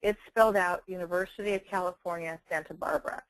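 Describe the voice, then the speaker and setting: A woman giving a webinar software demonstration, enunciating clearly as a presenter. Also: fairly low pitch, steady tone, fast talker, no room sound, rough recording. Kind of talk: webinar product demonstration with dictation. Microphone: close handheld speech mic.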